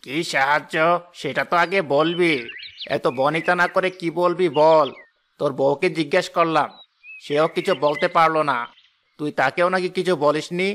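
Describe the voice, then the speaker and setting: A man voicing a greedy, sly, two-faced village farmer in an animated moral story. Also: a little high, normal speed, a little hiss or hum, natural voice. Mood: impatient. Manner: falsely reassuring, gloating.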